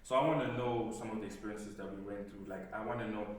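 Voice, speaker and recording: A man's voice: on the low side, steady tone, quick pace. Upbeat South African-born male host. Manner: upbeat, hypes up guests. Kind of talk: casual group conversation. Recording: echoey room with faint ambience.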